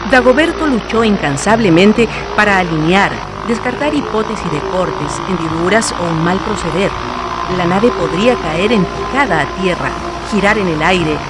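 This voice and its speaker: A man's voice: high-pitched, lively intonation, moderate pace. A man giving dramatic, scripted narration for a historical radio drama. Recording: loud vehicle-like noise behind the voice.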